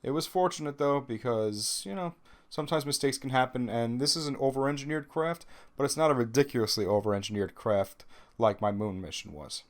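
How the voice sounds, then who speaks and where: A man's voice: mid-range voice, a little fast. A man with a Brooklyn accent, a little nasal from a cold, giving chatty, self-deprecating voice-over commentary on his gameplay. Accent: New York (Brooklyn). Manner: chatty, self-deprecating, rambling with ums and restarts. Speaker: gaming video host.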